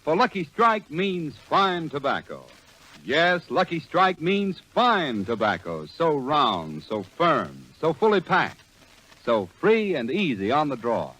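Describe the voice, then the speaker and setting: A man's voice: a little high, huge pitch swings, slow, fairly bright tone, rough recording. A man announcing a 1940s radio advertisement in an emphatic, declarative manner. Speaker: commercial announcer. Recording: vintage 1940s radio recording, faint noise.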